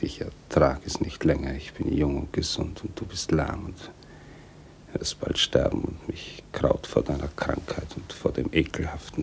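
An actor playing a lame, sick husband in a German radio drama, bitter and despairing, with halting, brooding speech. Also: male voice, a bass voice, slight background noise, full character voice. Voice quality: creaky, breathy, half-whispered. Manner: halting, brooding, repeats words.

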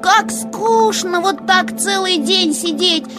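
A woman voicing an excitable, easily scared and curious little male hedgehog in a children's audio play, exclaiming and stammering. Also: very high-pitched, takes it slowly, over music. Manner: exclaiming, stammering when startled.

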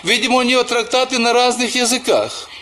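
A man's voice: very high voice, brisk, sharp tone. A man who is a church pastor, preaching a sermon and telling personal stories, often calling the listeners brothers and sisters.

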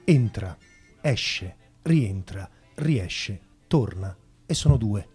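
A woman's voice: very deep voice, lively intonation, drawn-out words, warm tone, breathy edge, faint music behind. A woman performing a scripted theatrical recitation in short phrases. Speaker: performer in a spoken theatre piece.

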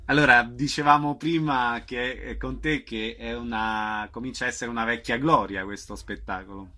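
A man hosting a radio talk show. He introduces guests and announces dates in a brisk manner. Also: mid-range voice, fairly even intonation, pushed and bright. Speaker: radio host.

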